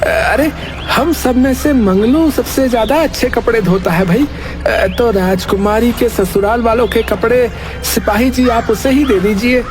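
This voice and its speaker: A man voicing village washermen, lazy or scheming, in an animated moral story, with an exaggerated drawl. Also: very high voice, unhurried, pauses often, over music. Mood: sly.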